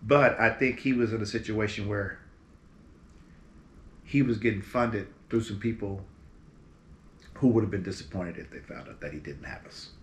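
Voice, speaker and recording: A man in his fifties from Berkeley, California, a musician guest telling stories from his career in a relaxed, animated way, seated in front of a green screen. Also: lowish voice, normal speed, pauses often. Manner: relaxed, animated storyteller with lively quoted voices. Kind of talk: casual storytelling interview. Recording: roomy echo with faint background hiss.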